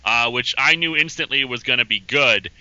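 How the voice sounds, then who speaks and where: A man's voice: mid-range voice, moderate pace, sharp tone, smooth, no room sound. A man talking as a podcast guest in a rambling, casual way, chuckling between thoughts. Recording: dull lo-fi podcast audio.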